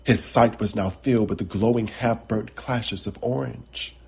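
A man narrating a poetic short story with measured, deliberate phrasing. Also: fairly low pitch, vocal fry, husky. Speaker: storyteller, author.

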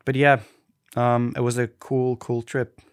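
A man's voice: medium pitch, level tone, a little slow, smooth, no room sound, clean studio sound. A man from Austria with an Austrian German accent, co-hosting a casual podcast chat and often restarting as he searches for words. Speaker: podcast co-host.

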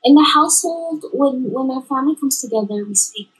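A young woman from the Philippines by way of Dubai, a podcast guest chatting casually and haltingly, with many restarts. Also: high-pitched, expressive, slightly rough, low-quality recording. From Dubai-born Filipino. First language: Tagalog. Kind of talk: casual interview chat.

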